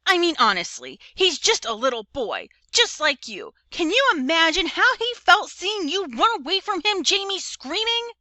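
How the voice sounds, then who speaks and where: A woman's voice: medium pitch, sing-song, brisk, few pauses, sharp tone, dry recording. A woman voicing a stern mother of young boys, earnest and reproachful, in an emphatic storybook reading. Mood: angry, scolding.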